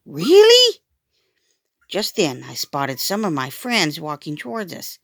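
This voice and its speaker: A woman reading a children's book aloud in an expressive, dramatic storytime style. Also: low voice, normal speed, creaky, no room sound, clean studio sound. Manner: animated, dramatic. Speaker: storytime reader.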